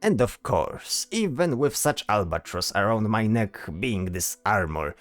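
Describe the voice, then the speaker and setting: A man's voice: on the low side, big pitch swings, unhurried, no room sound, soft background music. A man voicing a bold, teasing bard in a fantasy audio drama, talking theatrically and tagging questions onto his sentences. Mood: boastful, breezy. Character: flamboyant male bard, teasing, bold, self-assured.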